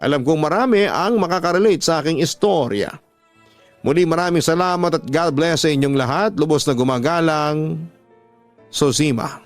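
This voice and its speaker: A man's voice: fairly high pitch, moderate pace, soft background music. A man narrating a radio drama, reading a listener's love-story letter aloud in an earnest, heartfelt way.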